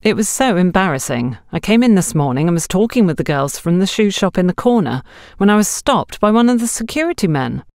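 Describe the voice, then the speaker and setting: A woman's voice: lowish voice, sing-song, fairly quick, hardly any pauses, darkish tone, no room sound, clean studio sound, voice pushed up. A woman voicing an anxious, indignant young female shop assistant in an audiobook, gossiping with a colleague. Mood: embarrassed, indignant. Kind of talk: audiobook character dialogue.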